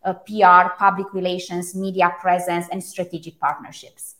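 A woman's voice: lowish voice, completely flat delivery, moderate pace, fairly bright tone. A woman from Romania with a Romanian accent, a native Romanian speaker, giving articulate, emphatic interview answers about her own work. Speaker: interview guest, brand strategist. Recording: echoey room.

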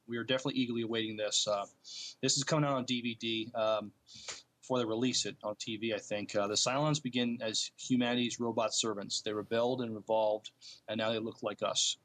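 Halting male podcast co-host. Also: lowish voice, steady tone, fairly bright tone. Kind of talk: reading news notes and chatting casually.

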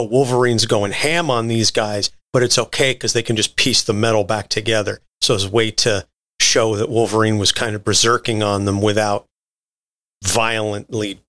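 A man's voice: on the low side, pushed and bright. A man chatting casually as a podcast panelist, explaining his take in colloquial language. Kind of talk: casual chat, reviewing a cartoon.

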